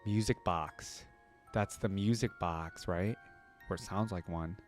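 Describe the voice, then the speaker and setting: A man with a fairly low voice hosts a casual reaction. He thinks out loud in informal questions and exclamations. Faint music plays behind his commentary. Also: a little slow. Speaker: reaction video host. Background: instrumental theme music with piano and choir.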